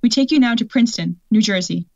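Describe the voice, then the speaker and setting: A woman's voice: fairly high pitch, quick pace, a little mellow, dry recording. A woman playing an official radio studio announcer in an old-time radio drama, reading bulletins in a formal, factual way. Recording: dull lo-fi audio.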